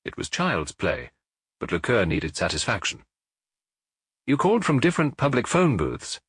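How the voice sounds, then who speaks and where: A man voicing a weary older police detective who questions calmly and firmly, with measured compassion. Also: medium pitch, breathy edge, dry recording, studio quality. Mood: matter-of-fact.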